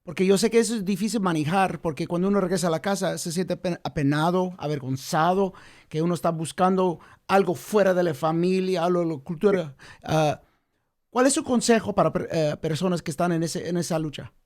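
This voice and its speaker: A man's voice: high-pitched, a little fast, a little mellow. A man hosting a podcast interview, asking long, rambling questions and giving frequent affirming sounds. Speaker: podcast host. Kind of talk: casual interview.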